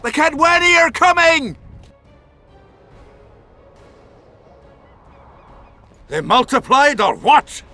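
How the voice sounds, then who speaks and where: An actor playing a gruff, foul-mouthed dwarf commander in a fantasy video game, blunt and crude. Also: male voice, very high voice, very animated, moderate pace, bright, forceful tone, a little hiss or hum, full character voice. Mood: urgent, shouting. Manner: blunt, crude, barking orders.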